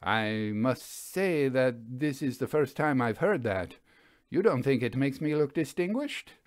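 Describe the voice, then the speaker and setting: A man voicing a vain, flirtatious male stage magician with a smooth, showy, deliberate delivery in a storybook reading. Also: medium pitch, lively intonation, no echo, studio quality, lightly acted. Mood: mildly affronted. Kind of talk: audiobook storybook dialogue.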